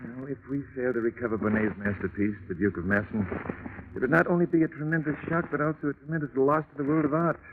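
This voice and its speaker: An actor playing an earnest, long-winded gentleman art organizer, speaking formally and self-importantly in 1940s radio drama style. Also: mid-range voice, steady tone, fast talker, natural voice. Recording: tinny, noisy vintage radio recording.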